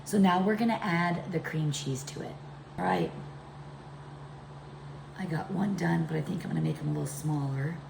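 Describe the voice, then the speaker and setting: A middle-aged woman hosting a casual home cooking demonstration, her voice congested and deepened by a chest cold. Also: moderate pace, creaky, pauses often. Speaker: home cooking video host. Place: indoors, home kitchen. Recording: echoey room with background noise.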